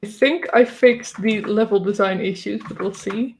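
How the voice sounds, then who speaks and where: A woman's voice: mid-range voice, normal speed. A woman from the Netherlands, a native Dutch speaker, chatting hesitantly and playfully while playing her game. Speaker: guest game developer. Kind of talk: casual chat while playing.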